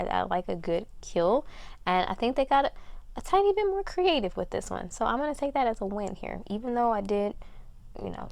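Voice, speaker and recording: A woman's voice: medium pitch, creaky, no echo. A woman chatting casually as a film reviewer, informal and hedging, often repeating herself for emphasis.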